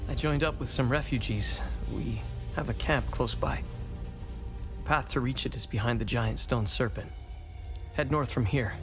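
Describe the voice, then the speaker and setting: A man's voice: medium pitch, moderate pace, over music, natural voice. A man playing a weary, protective prison-camp escapee in a video game, earnest and plain-spoken. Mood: wary, weary. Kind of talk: video game cutscene dialogue.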